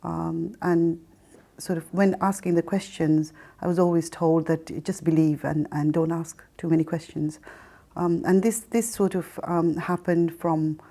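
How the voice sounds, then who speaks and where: Middle-aged woman, personal testimony, soft-edged mellow tone, slightly low pitch, hesitant phrasing, reflective. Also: steady tone. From Kenya, raised in Mombasa and then England. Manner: hesitant, halting, pausing mid-phrase. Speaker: interview guest telling her life story.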